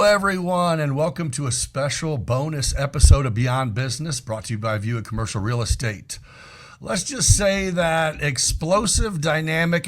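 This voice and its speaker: A man hosting a business podcast, reading a scripted guest introduction and then chatting in a friendly way. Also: on the high side. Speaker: podcast host.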